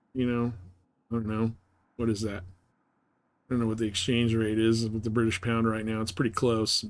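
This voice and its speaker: A man's voice: mid-range voice, a bit bright, clean tone. A man chatting casually and thinking aloud while playing on a gaming livestream, in a rambling, colloquial way. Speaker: live streamer playing a space game.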